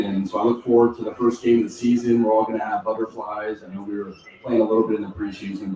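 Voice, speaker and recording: Man, football kicker, measured and steady, low mellow voice, echoey distant sound. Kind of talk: press conference answers. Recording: echoey room, far from mic.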